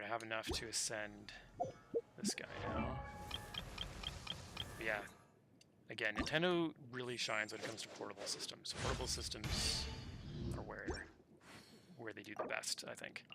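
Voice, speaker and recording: A man's voice: fairly low pitch, a little slow, creaky, long pauses, very noisy. A man livestreaming a game, chatting casually in an easygoing, slangy, conversational way. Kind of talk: casual chat while gaming. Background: game music.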